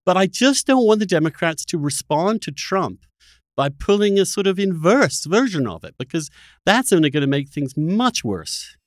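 A man in casual political discussion as a podcast host, emphatic and often halting to restart his phrases. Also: high-pitched, big pitch swings, no room sound, clean studio sound. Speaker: podcast host in conversation with a guest.

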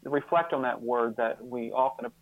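A fifty-year-old man speaking as an interview guest, reflective and earnest, often halting and repeating words as he thinks. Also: mid-range voice, dry recording, phone-quality sound.

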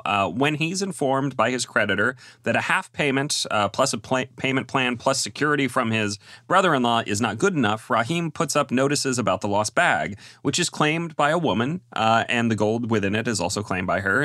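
Animated, bright-voiced male podcast host. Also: medium pitch, dry recording, clean studio sound. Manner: moderating, reading a film summary with many "uh" fillers. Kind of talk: podcast discussion and film review.

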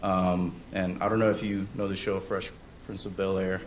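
A young man from South Korea shares his testimony, pausing often and starting his sentences over. His voice sits a little low. The room around him is echoey, with faint ambience behind it. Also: level tone, background noise. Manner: hesitant, many fillers and restarts. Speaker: church member giving testimony. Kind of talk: personal testimony. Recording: roomy sound with faint ambience.

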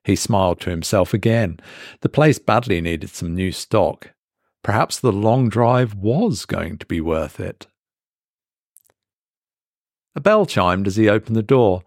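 A man reading a scripted horror story as a dramatic narrator, measured and deliberate with pauses for effect. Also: lowish voice, very animated, no room sound, studio quality.

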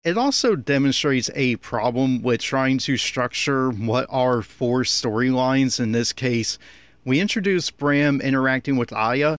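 A man with a medium-pitched voice records an audio commentary on an anime. He speaks as an opinionated critic, and the sound is dry, with very little room to it. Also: a little slow, clean tone, no room sound.

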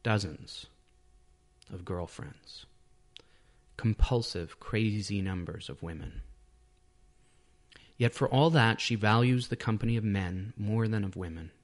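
A man narrates literary fiction from a script. His voice sits fairly low, and he reads as the author of the piece. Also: slow, long pauses. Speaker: author reading own short story.

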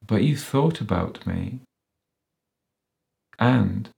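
A man's voice: lowish voice, lively intonation, extremely slow, creaky, a little mellow, voice pushed up, exaggerated voice. A man reading a story, voicing a crafty, menacing ex-policeman villain, bitter and self-pitying, who sneers and taunts. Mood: menacing, accusing. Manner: sneering, taunting, with sudden rises and whines.

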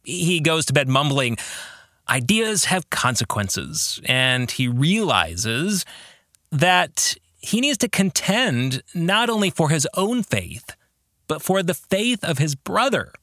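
A man's voice: on the high side, bright, forceful tone, no room sound, studio quality. A man hosting a reflective faith book discussion, earnest, putting questions to the listener. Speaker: podcast host and book author leading a study.

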